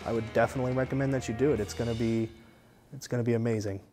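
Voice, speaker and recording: A man's voice: medium pitch, steady tone, rapid-fire, warm tone. A young man giving interview answers, recorded indoors on a lapel mic. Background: faint music.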